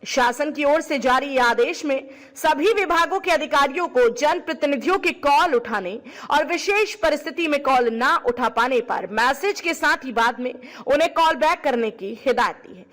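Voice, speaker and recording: A woman's voice: high voice, a bit bright. A woman presenting scripted news narration in a formal manner.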